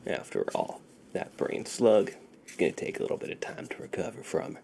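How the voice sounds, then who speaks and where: A man speaking softly and breathily, often whispering, in an unhurried, reassuring ASMR roleplay as a friendly dealer. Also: deep voice, wildly swooping pitch, vocal fry, slight background noise. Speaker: ASMR performer. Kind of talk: soft-spoken ASMR roleplay.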